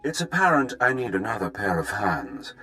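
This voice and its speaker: A ruthless, self-satisfied space-empire commander from a game. He talks with pompous, measured menace and swooping intonation over music. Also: on the low side, sing-song, pushed and bright, rough recording. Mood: smug menace. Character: smug, ruthless male imperial fleet commander.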